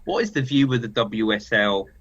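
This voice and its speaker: A man's voice: lowish voice, animated, normal speed, a bit bright. A man interviewing a footballer, asking polite, slightly hesitant questions. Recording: dull, muffled clip audio.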